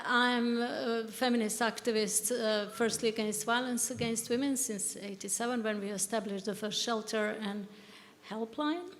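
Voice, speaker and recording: A mature woman with a Croatian accent introducing herself as an activist panelist. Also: a little high. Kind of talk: self-introduction. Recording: echoey hall with noise.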